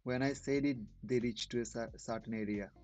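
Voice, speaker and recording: A man whose first language is not English, hosting a trading channel and commenting casually on live charts in halting speech with many restarts. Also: mid-range voice, steady tone, darkish tone, soft background music.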